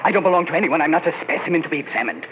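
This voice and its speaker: An actor playing an earnest, doomed man on an urgent mission in a solemn, halting 1940s radio mystery style. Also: fairly high pitch, lightly acted. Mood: urgent, pleading. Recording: muffled noisy old radio recording.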